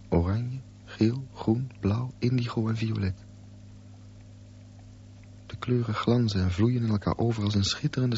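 A man's voice: fairly low pitch, a little mellow. An actor playing a withdrawn young man obsessed with the undersea world, speaking in an intense, incantatory radio drama style. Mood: yearning, intense. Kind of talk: radio drama acting, poetic monologue. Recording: dull, lo-fi radio play with faint hum.

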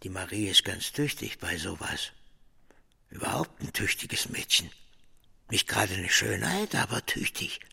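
An actor playing a bullying, sly merchant boss in a radio drama, curt and domineering, in a reverberant space. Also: male voice, deep voice, sing-song, unhurried, creaky, pushed and bright, husky, exaggerated voice. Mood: sly, menacing. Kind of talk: German radio play acting.

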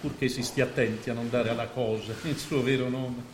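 A man's voice: mid-range voice, steady tone, a little fast, close to an everyday voice. An actor playing a cynical, domineering businessman in a stage drama, condescending and persuasive in his delivery. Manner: smooth-talking, condescending, persuasive. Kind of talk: theatrical Italian stage acting. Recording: echoey stage hall, faint noise.

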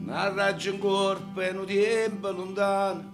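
A woman's voice: mid-range voice, level tone, a little slow. A woman with a southern Italian accent singing and reciting folk songs as part of a theatre show. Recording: loud guitar music around the voice. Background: loud acoustic guitar accompaniment.

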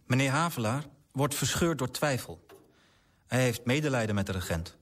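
Radio play role: earnest, politely persistent male clerk, acted by a man, formal wording, highly varied melody, rough-edged tone. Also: lively intonation, moderate pace, close to an everyday voice. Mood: grave, narrating. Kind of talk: radio drama acting.

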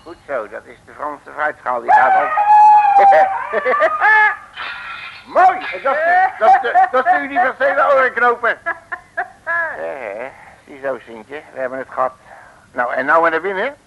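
Brash, high-pitched detective. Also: male voice, big pitch swings, moderate pace, creaky, dull audio, background noise. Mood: gleeful, boisterous. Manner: cocky, slangy, folksy. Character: brash, jovial male detective of a comic radio serial. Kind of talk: 1950s-60s comic radio play acting. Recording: old mid-century radio recording.

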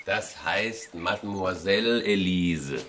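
Polite, solicitous father, low voice, radio play dialogue. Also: slow, a bit bright, a little hiss or hum. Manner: courteous, solicitous. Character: polite, attentive suburban husband and father.

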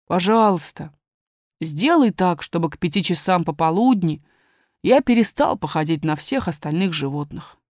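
A woman's voice: on the low side, lively intonation, moderate pace, a little mellow, no echo, studio quality, pitched below the performer's own voice, close to an everyday voice. A woman voicing a proud, vain little male kangaroo who pleads and demands, in a storybook reading. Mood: earnest, demanding. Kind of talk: storybook character voice.

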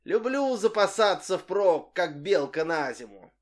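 Guy putting on a loud bragging old tramp, high and pushy, always in a rush. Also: sing-song, unhurried. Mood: boastful. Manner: shouting and bragging, hurrying others on. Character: small boastful old tramp man, restless and in a hurry.

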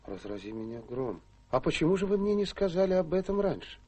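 Pompous bashful glutton, high male pitch, blustering, theatrical, radio play. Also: big pitch swings, a little fast, dark tone, breathy edge. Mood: flustered. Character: pompous, bashful, gluttonous overweight young gentleman.

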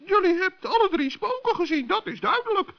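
A man voicing a kindly, shrewd little forest gnome in a children's radio play, with a gently teasing manner. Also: very high voice, big pitch swings, fairly quick, vocal fry, voice pushed up, heavily acted. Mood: knowing, teasing. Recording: dull, lo-fi old recording.

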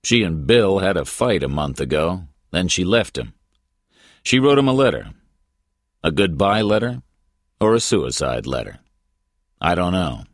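A male narrator voices a private detective in a crime audiobook. The voice is very deep and slow, terse and matter-of-fact, with a deadpan edge. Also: no room sound, studio quality, full character voice. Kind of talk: audiobook character voice.

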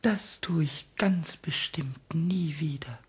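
A small, childlike kobold pleads in a high, squeaky voice. The delivery is very slow and halting, full of theatrical emotion, in the style of a children's radio play. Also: male voice. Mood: contrite, tearful.

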